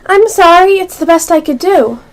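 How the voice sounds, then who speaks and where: A very high, girlish voice from a young female actor plays a polite little girl in an amateur radio play. She is earnest and bewildered by everything around her. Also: expressive, moderate pace, heavy background noise. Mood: earnest, apologetic.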